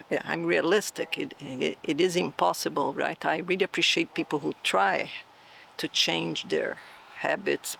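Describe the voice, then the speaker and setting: A woman's voice: deep voice, gravelly, background noise. A woman in her sixties from Brazil, with a Brazilian Portuguese accent, thoughtfully answering interview questions as a professor and often checking in with "right?". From southern Brazil. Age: 60s. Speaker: cell biology professor. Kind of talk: oral history interview answers.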